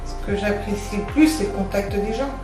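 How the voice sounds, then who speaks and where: A woman's voice: medium pitch, moderate pace. A woman giving a candid, conversational interview testimonial as a home care worker. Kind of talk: interview testimonial about their job. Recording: echoey room, distant mic, music bed. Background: music.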